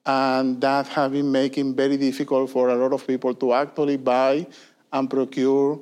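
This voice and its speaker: A man's voice: medium pitch, completely flat delivery, moderate pace, darkish tone. A man, a guest answering interview questions earnestly, with many fillers and tag questions. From Venezuela. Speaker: guest, industry consulting leader.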